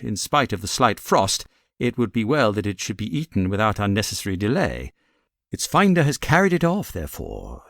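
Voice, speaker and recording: A British man voicing a brilliant, self-assured gentleman detective in an audiobook, precise and theatrical as he sets things out. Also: fairly low pitch, dry recording, clean studio sound. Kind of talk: audiobook character reading.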